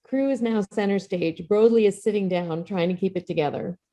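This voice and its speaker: A woman's voice: medium pitch, fairly quick, dark tone. Woman reading stage directions, plain narration, normal mid voice.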